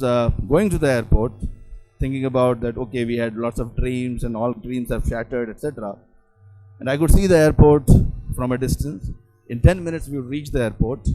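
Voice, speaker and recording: Indian male trainer, mid-pitched, halting storyteller giving a motivational talk, faint music behind. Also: dark tone, rough recording. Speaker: company founder and trainer.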